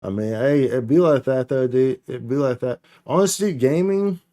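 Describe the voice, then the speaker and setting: A man's voice: medium pitch, moderate pace, warm tone. A man chatting casually on a live gaming stream, slangy and playful, rambling and often repeating his phrases. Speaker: game streamer, host.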